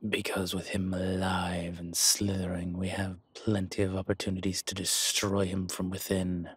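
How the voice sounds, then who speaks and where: A man playing a suave, scheming dragon mob boss in an intimate audio roleplay, with measured, husky, breathy delivery and deliberate pauses. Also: low voice, monotone, no echo. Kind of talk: slow, intimate scripted roleplay monologue.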